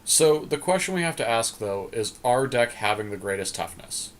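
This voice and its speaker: A man's voice: medium pitch, animated, moderate pace, a little hiss or hum. A man co-hosting a gaming podcast, casually thinking aloud in a tentative way and often posing questions.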